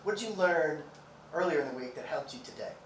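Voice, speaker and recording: A man's voice: fairly high pitch, lively intonation, quick pace, recorded from a distance. A man moderating a post-game press conference with casual sports questions. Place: indoor press conference room. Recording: echoey, off-mic, faint room noise.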